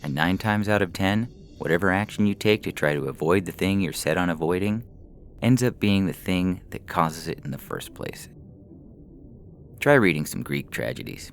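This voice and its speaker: A man with an exceptionally deep voice narrates a short story. His tone crackles with gravelly fry, and he phrases deliberately, leaving pauses. Soft music runs faintly underneath. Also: animated. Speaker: story reader. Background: faint background music.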